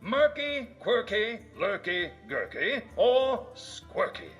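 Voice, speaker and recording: An actor playing a noble paladin who hams it up as a theme park announcer in a video game, courtly and grandiose. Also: male voice, high-pitched, sing-song, takes it slowly, a bit bright, muffled recording, soft background music, heavily acted. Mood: grand welcome. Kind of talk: scripted game announcer voice lines.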